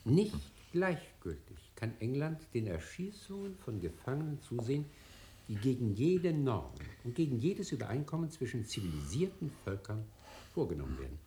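An actor in a radio play voicing a pompous senior diplomat who delivers solemn, florid formal speeches. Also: male voice, mid-range voice, big pitch swings, normal speed, dark tone. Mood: grave indignation. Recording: echoey room.

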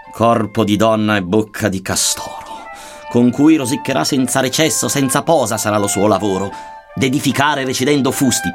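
A man reciting an archaic satirical verse narrative in a solemn, measured, declamatory manner. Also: mid-range voice. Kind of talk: dramatic poetry recitation in archaic Dantean verse.

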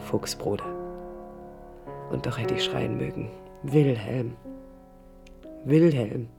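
A woman's voice: low-pitched, vocal fry, full character voice. An idealistic young woman, reflective, over music. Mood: wistful, intimate. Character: young idealistic woman architect recalling her life, passionate, critical. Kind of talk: 1980s radio play inner-monologue narration.

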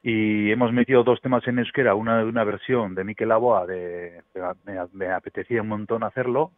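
A middle-aged man from the Basque Country, a native Basque speaker, giving a casual interview as a musician, halting and searching for his words. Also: on the low side, steady tone, dry recording. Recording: thin, phone-like band-limited line.

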